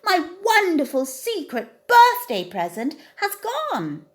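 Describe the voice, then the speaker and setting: An earnest little tomcat from a children's storybook, voiced by a woman reader. He speaks expressively and thoughtfully, with a pitch that swings up and down. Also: huge pitch swings, slow, heavily acted. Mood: distraught. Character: earnest, secretive young male cat, thoughtful gift-giver.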